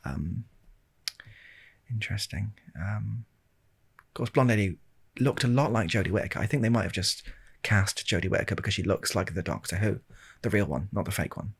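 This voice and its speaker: A British man telling a rambling comic ASMR story in a deadpan, hesitant way, with a husky, gravelly voice, close to the microphone. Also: fairly low pitch, moderate pace. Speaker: video storyteller. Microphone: close handheld-recorder style microphone.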